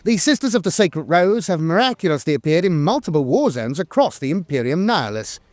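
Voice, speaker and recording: A man reading scripted lore narration in a measured, formal way, with the occasional hesitation. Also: on the high side, big pitch swings, a little mellow, no echo. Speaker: channel narrator.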